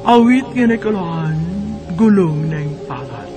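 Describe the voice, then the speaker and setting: Male radio host, measured announcing, high voice, music underneath. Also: sing-song. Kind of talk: radio drama outro announcement.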